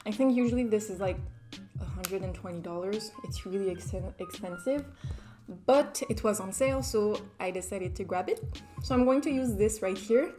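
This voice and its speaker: A young French Canadian woman talks through her makeup routine in an easygoing, self-deprecating way. Her French-accented English sits at a middle pitch, and soft music plays faintly underneath. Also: moderate pace. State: just woke up. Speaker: beauty vlogger. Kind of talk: casual makeup tutorial.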